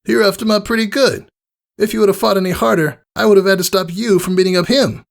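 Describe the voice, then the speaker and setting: Brash pony mare acted by a man, pitched high. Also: steady tone, fast, gravelly. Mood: cool, confident. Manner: casual, boastful, slangy. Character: brash, cocky young female pegasus pony, upbeat and casual. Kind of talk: character voice in fan fiction reading.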